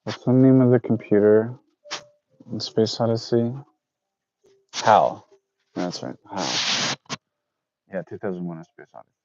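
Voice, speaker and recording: A man's voice: lowish voice, a little slow, long pauses, background noise. A man in his thirties chatting casually with viewers on a livestream, rambling and correcting himself as he goes. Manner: rambling, self-correcting, reads out chat. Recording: dull lo-fi dry sound.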